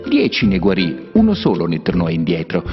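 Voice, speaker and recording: A man narrating a children's Bible cartoon as an expressive, explanatory storyteller. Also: low voice, brisk. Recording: muffled, reverberant, music behind. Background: background music.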